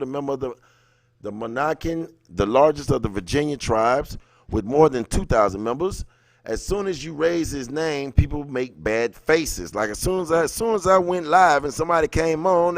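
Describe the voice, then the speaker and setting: A man's voice: fairly high pitch, dry recording. A man hosting a livestream, reading an article aloud and adding blunt, colloquial comments of his own. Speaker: livestream host.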